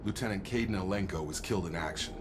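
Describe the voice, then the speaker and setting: A man's voice: sits low, fast talker, background noise, natural voice. A man playing an earnest, loyal soldier in a video game cutscene, frank and reassuring. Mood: sober. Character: earnest, loyal male soldier, plain-spoken and protective.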